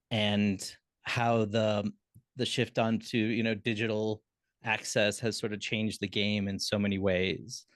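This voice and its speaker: A man's voice: fairly low pitch, no room sound, clean studio sound. A man speaking as a panelist in a casual discussion, thoughtful and hesitant, feeling his way through phrases. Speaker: panelist, theatre company member.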